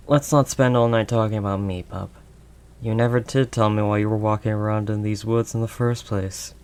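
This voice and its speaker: A man playing a protective older male werewolf loner, gentle and conversational, in an intimate audio roleplay. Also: lowish voice, slight background noise, close to an everyday voice. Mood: guarded, easygoing. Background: rain ambience sound effects.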